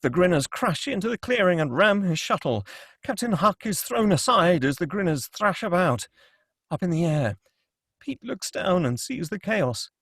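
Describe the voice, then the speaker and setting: A man's voice: high voice, lively intonation, normal speed, a bit bright, dry recording, studio quality. A man reading scripted screenplay action and scene headings as the narrator of a table read. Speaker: narrator reading stage directions.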